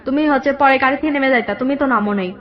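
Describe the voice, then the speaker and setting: A young woman with a Bangladeshi accent telling her personal story in a radio interview, halting and repeating herself. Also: high-pitched. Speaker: guest telling own life story. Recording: dull lo-fi radio audio, background music.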